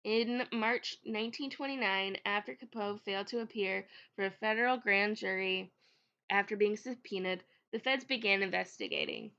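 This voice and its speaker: A woman with a cold narrates history in a loose, chatty way, a little sniffly. Her voice is bright and clear at an ordinary middle pitch. The recording sounds slightly dull, as if made at home. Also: normal speed. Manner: chatty, with frequent fillers and restarts. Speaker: history video host.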